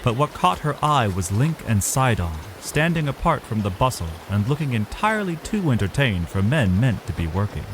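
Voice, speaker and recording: A man's voice: mid-range voice, big pitch swings, moderate pace, few pauses. A man giving dramatic scripted narration of a fantasy adventure. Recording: noisy ambience behind the voice.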